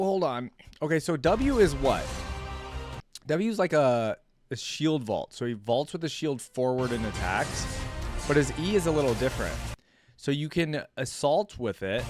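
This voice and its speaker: A man hosting a reaction video in casual chat, informal and thinking aloud as he goes, often repeating himself. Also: medium pitch.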